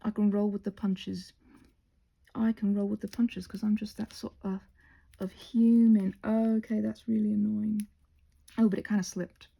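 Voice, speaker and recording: A woman's voice: medium pitch, flat delivery, moderate pace, vocal fry, warm tone. A woman hosting a casual craft tutorial in a scattered, rambling way, trailing off mid-sentence and talking to herself with a playful streak.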